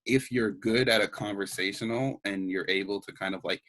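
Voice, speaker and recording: A young man from Canada, a student guest, talking in a relaxed, rambling way with many fillers. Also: fairly low pitch, normal speed, dry recording, poor audio. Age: young adult. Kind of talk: casual conversation.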